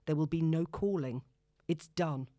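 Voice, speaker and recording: A woman's voice: low-pitched, a little slow, warm tone, no echo, clean studio sound. A woman reading scripted novel narration as an audiobook narrator.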